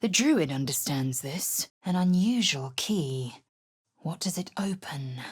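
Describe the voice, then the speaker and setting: Game role: disciplined alien warrior woman, imperious and scornful, lowish rasping voice, formal clipped delivery. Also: expressive, takes it slowly, exaggerated voice. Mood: stern, resolute. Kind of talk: video game character voice lines.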